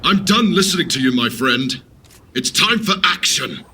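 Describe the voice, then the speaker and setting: Booming, righteous warrior, very sharp. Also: male voice, lively intonation, normal speed, a little hiss or hum. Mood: stern, resolute. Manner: declamatory, forceful. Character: frustrated, commanding male warrior, booming and righteous. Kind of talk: audio drama acting.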